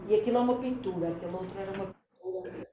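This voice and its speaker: A woman from Portugal with a Portuguese accent, a teacher interpreting for her class in a brisk, explaining way. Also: medium pitch, sing-song. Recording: echoey classroom with faint noise.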